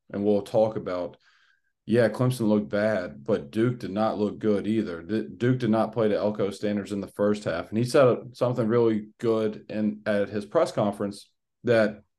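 A hoarse man in his twenties hosting a casual sports podcast, talking in an excited, rambling way. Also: fairly low pitch. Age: 20s. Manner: excited, rambling fan talk. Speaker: podcast host.

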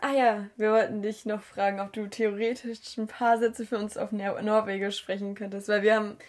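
A woman's voice: mid-range voice, little pitch movement, moderate pace. Encouraging young female interviewer. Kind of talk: casual chat.

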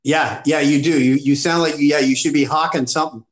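A man co-hosting a casual podcast chat, talking in halting restarts and laughing easily. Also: on the high side, fairly even intonation. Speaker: podcast co-host.

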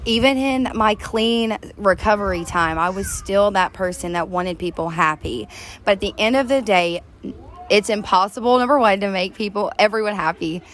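A woman with a deep voice, co-hosting a faith-based recovery podcast, talking earnestly and heartfelt to listeners, recorded outdoors on a back deck. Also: moderate pace, slight background noise. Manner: earnest, heartfelt, addresses listeners as "you guys". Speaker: podcast co-host.

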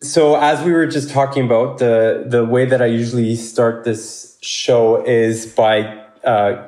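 A man's voice: medium pitch, a little mellow. A man hosting a podcast who reads an upbeat scripted introduction of his guest and then asks questions. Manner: upbeat, read-aloud introduction. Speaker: podcast host.

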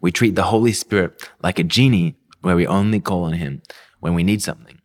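Young australian man, very low voice, earnest sermon, personal storytelling. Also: brisk. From Melbourne, Australia. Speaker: pastor. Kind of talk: devotional sermon talk.

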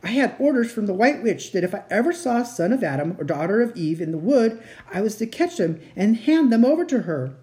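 A woman's voice: mid-range voice, sing-song, a little fast, few pauses, warm tone, voice pushed up, lightly acted. A woman voicing a sorrowful, guilt-ridden male fawn in a melodramatic, tearful storybook reading. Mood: anguished confession. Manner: tearful, self-reproaching, melodramatic.

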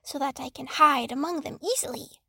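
Woman voicing a small friendly male snake, high-pitched. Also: fairly quick, gravelly, sharp tone, dry recording, studio quality, full character voice.

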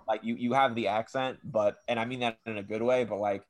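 A man's voice: fairly low pitch, fairly even intonation, fairly quick. A young man with a New York accent, chatting casually and emphatically, often repeating himself. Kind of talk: casual chat.

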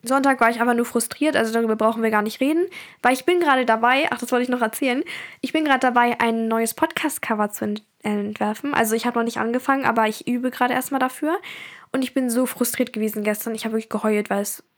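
A teenage girl from Germany talks through her week on her own podcast. She rambles in a loose, chatty way with plenty of fillers. Her voice sits a little high. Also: fairly even intonation, quick pace. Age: teens. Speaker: podcast host. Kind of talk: casual personal podcast chat.

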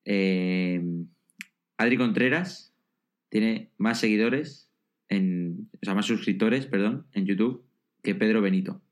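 A man's voice: lowish voice, slow. A man hosting an interview podcast, friendly and a bit hesitant, with many restarts as he sets up questions. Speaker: podcast host.

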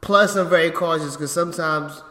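A man's voice: fairly high pitch, moderate pace, pushed and bright. A young man co-hosting a casual chat podcast, playful and jokey, who stumbles over words. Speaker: podcast co-host. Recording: echoey room with long reverb.

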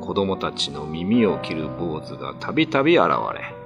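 A man's voice: deep voice, sing-song, normal speed. A man narrating a classical ghost story for radio drama in a theatrical, ominous, scripted style. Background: music.

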